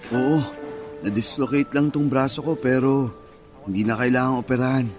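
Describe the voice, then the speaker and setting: A man playing an emotional, guilt-ridden father who is quick to anger, in a radio drama. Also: medium pitch, fairly even intonation, soft background music, natural voice. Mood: shaken, concerned.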